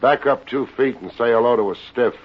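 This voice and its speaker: An actor playing a wisecracking, unflappable private investigator in an American radio drama, dry and sardonic, with an American accent. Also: male voice, mid-range voice, brisk, close to an everyday voice. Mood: cool, taunting. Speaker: lead actor narrating and playing a detective. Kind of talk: 1950s American radio detective acting. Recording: dull old radio recording with music.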